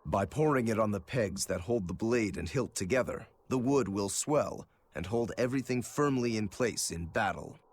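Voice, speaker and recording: A man's voice: on the low side, lively intonation, normal speed, few pauses, slight background noise, natural voice. An actor playing an easygoing, teasing male demon swordsman who likes his drink, in a video game. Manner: wry, teasing, laid-back. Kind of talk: English video game voice acting.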